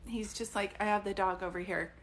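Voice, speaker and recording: A woman's voice: mid-range voice, fairly even intonation, a little fast, a little hiss or hum. A middle-aged woman working as a psychic medium, chatting casually on a live stream, thinking aloud and often stopping to restart a word.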